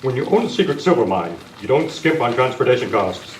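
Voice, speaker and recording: A man's voice: mid-range voice, sing-song, fairly quick, close to an everyday voice. A man playing an upright masked western hero in an amateur radio western, with plain, proper speech. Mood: reasoning, wry. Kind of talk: amateur old-time radio western acting. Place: club meeting room, far camera. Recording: echoey, distant camera sound, clear noise.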